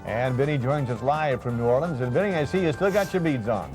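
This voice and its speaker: A man's voice: medium pitch, expressive, fairly quick, music in the background. A man anchoring television news, introducing a live report and chatting.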